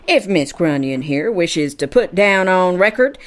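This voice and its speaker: A woman's voice: lowish voice, expressive, measured pace, slight background noise. A woman playing a bold, boastful gunslinger who speaks in grand, formal old-west phrases in an audio drama. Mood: proud, amused. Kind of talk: children's western audio drama acting.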